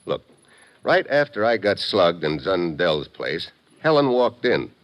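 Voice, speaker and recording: An actor playing a tough, wry American secret agent, hard-boiled and slangy, in 1950s radio drama style. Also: male voice, low voice, very animated, unhurried. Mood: thoughtful.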